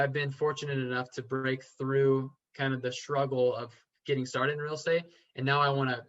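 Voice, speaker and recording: A man's voice: medium pitch, fairly bright tone. Earnest man, guest on a podcast. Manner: earnest, halting, many fillers and restarts. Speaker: podcast guest, real estate investor. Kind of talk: casual interview chat. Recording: slightly dull lo-fi sound.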